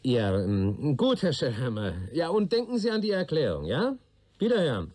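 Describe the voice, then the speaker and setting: A man's voice: fairly high pitch, huge pitch swings, moderate pace, darkish tone. An actor playing a sardonic, world-weary male police detective who probes and questions in a radio play. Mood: officious, probing. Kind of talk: radio drama dialogue.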